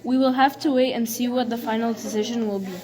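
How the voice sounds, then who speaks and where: A woman's voice: on the high side, steady tone, fast talker, darkish tone. A woman reading a scripted news report aloud as one of two presenters.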